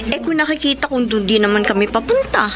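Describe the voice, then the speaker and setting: A woman's voice: a little high, animated, quick pace, a crowd in the background. A woman playing a candid, romantically unsure young student in a radio soap, with a Filipino accent. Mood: earnest, assured. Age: young adult.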